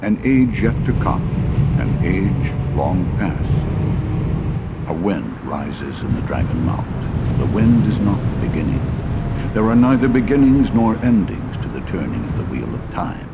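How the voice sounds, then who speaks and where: A man's voice: lowish voice, sing-song, measured pace, heavy background noise. A man playing a solemn storyteller, narrating an epic fantasy legend in a portentous, dramatic way for a video game. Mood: ominous. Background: loud music.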